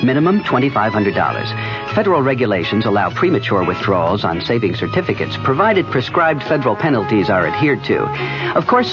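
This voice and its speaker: A male commercial announcer delivers scripted seventies ad copy with lively, rising and falling pitch. The radio recording is dull, with music behind. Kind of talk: 1970s scripted radio advertisement.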